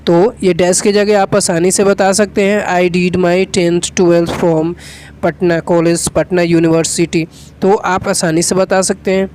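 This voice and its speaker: A teacher giving a spoken English lesson, slowly explaining each step and repeating example phrases. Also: medium pitch, little pitch movement, darkish tone, a little hiss or hum.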